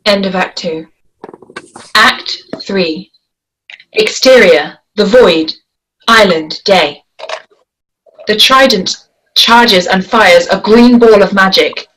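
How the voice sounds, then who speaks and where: A woman's voice: medium pitch, slow, pauses often, fairly bright tone, poor audio. A woman reading stage directions as scripted narration for a screenplay.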